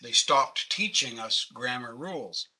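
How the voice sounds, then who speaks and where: A man's voice: mid-range voice, lively intonation, unhurried, pushed and bright, husky. A man in his late sixties from Canada with a Canadian accent, patiently explaining grammar in a casual online class over a webcam. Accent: Canadian English. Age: 60s. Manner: patient, conversational, self-deprecating. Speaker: webinar presenter. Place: home office. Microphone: webcam video call.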